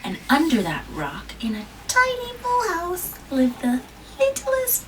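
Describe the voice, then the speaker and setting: Slow-reading mother at a distance. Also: wildly swooping pitch. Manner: gentle, questioning the child, jumps to high squeaky pitch on small words. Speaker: mother reading aloud to her child. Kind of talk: children's picture-book read-aloud. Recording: distant mic with faint room noise.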